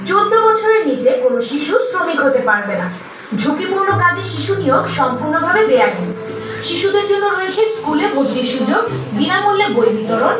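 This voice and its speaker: Firm, caring female social worker played by a woman for a puppet show: high, lively, emphatic, echoing. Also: background noise. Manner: emphatic, preachy. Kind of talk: scripted puppet show dialogue, awareness speech. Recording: very echoey, distant, music behind.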